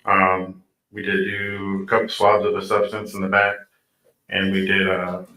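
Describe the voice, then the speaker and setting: A man's voice: low-pitched, monotone, measured pace, bright, forceful tone. A man, a police detective, giving formal, clipped and somewhat hesitant testimony as a courtroom witness. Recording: echoey distant courtroom playback.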